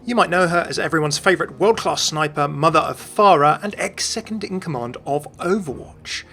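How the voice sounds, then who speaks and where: A man's voice: fairly high pitch, a little fast, creaky, fairly bright tone. A British man hosting a video, reading scripted game commentary and narration. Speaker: video host.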